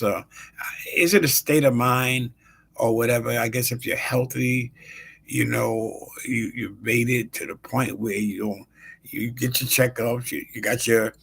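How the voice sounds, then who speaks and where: A man in his sixties hosting his own show, talking casually with lots of pauses and fillers and greeting viewers by name. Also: medium pitch, creaky, fairly bright tone, husky. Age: 60s. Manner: rambling, halting, many fillers. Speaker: show host. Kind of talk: casual live-stream talk.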